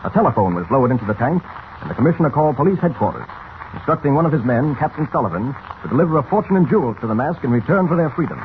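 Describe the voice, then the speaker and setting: A man's voice: mid-range voice, big pitch swings, racing. A man narrating an old radio serial in a dramatic, scripted style. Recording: tinny, hissy old radio recording.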